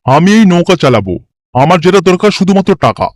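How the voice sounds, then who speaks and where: A man's voice: high voice, big pitch swings, fast, a little mellow, no room sound. A man voicing a bold, money-minded village fisherman in a cartoon story, boastful and defiant. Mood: boastful defiance.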